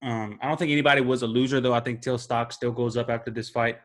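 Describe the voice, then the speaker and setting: A man's voice: medium pitch, level tone, fast, fairly bright tone. A man co-hosting a casual sports chat, laid-back and chatty. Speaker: podcast co-host.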